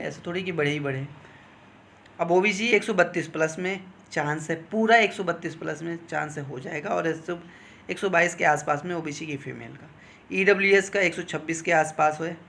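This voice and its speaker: Male coaching instructor, fairly high register, informal explanatory delivery with regular check-ins to listeners. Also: creaky, a bit bright, slight background noise. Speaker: exam coaching teacher advising candidates. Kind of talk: informal explanatory talk on exam cut-offs.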